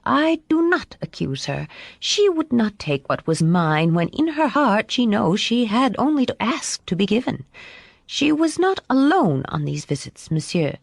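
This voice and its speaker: A woman narrator acts a dignified shopkeeper madame in an audiobook. She is proud and frank, with a raised pitch. The sound is close and dry. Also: big pitch swings, measured pace, vocal fry, no room sound, exaggerated voice. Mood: proud, firm. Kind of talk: audiobook character voice.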